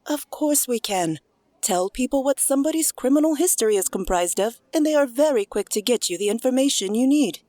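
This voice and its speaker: A confident fantasy rogue, acted by a woman, knowing and pointed in an audio drama. The voice is soft-edged and dark, recorded close and dry. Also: fairly high pitch, expressive, a little fast, no room sound, lightly acted.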